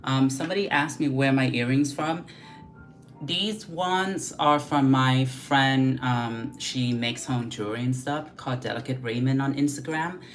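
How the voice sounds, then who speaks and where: A woman's voice: very deep voice, measured pace, faint music behind. A young woman with a Vietnamese accent mixed with Australian English, chatting frankly on a makeup livestream and reading out viewer questions. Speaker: beauty vlogger doing a livestream. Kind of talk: casual livestream chat while doing makeup.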